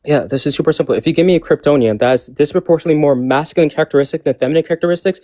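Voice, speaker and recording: A man's voice: a little high, racing, warm tone, no echo. A man in a heated online debate, insistent and exasperated, talking over a video call.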